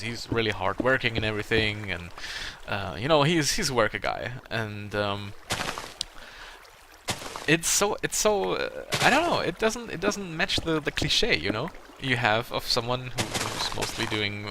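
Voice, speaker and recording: Halting, gravelly German-accented man. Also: fairly low pitch, noisy background. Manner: hesitant, halting, chuckles at himself. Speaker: Let's Play gaming video host. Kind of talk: casual rambling chat while gaming.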